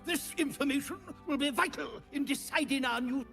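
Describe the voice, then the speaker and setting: Shrill, pompous bird commander. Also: male voice, normal speed, creaky, bright, forceful tone, faint music behind, full character voice. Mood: smug. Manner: barking, clipped, self-important. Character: pompous bird commander, blustering military officer. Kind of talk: video game character acting.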